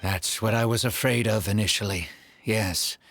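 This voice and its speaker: A man voicing an elderly, earnest desert dweller in a video game, speaking in a measured, gentle way over loud game music. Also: fairly low pitch, vocal fry. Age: older.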